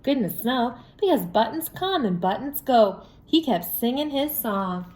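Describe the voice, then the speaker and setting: High-voiced woman reading slowly to children. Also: echoey room. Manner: expressive, sing-song, addresses children with questions. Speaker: children's story reader. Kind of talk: read-aloud for young children with sung refrains.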